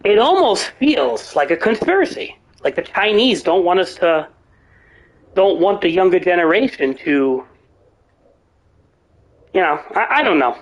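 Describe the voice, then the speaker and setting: Rambling young man streamer, going hoarse. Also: sits high, big pitch swings, measured pace, long pauses, poor audio. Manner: rambling, ranting, swears freely. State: voice getting hoarse. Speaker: gaming streamer. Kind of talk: rambling live-stream chat.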